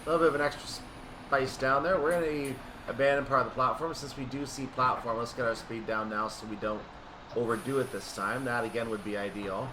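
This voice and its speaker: A man's voice: medium pitch, brisk. A man giving play-along commentary on a train simulator, slipping into station-announcer style calls. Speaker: gameplay commentator. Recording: steady noise behind the voice.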